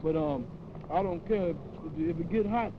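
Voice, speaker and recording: A man's voice: on the high side, normal speed. A young American man talking defiantly in casual slang. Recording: dull, noisy old 16mm film sound.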